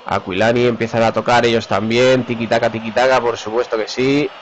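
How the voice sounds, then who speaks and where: A man's voice: medium pitch, highs cut off, a little hiss or hum. Spaniard calling his football game live. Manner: excited, rapid name-calling of players. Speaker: gamer commentating his own match. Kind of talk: live play-by-play commentary.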